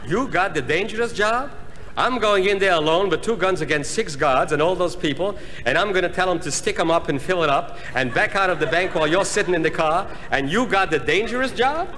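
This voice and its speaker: A man's voice: sits high, lively intonation, fairly quick, a bit bright. A man playing a hapless, indignant bank-robber sidekick in a comedy sketch. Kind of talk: 1960s comedy album sketch acting. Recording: noisy, reverberant 1960s comedy record.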